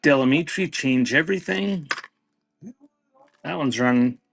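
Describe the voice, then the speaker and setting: A man's voice: a little high, unhurried, creaky, a bit bright, breathy edge, no echo. A man hosting a live-stream auction, announcing items in short clipped phrases and chatting casually with viewers in between. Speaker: live auction seller and host.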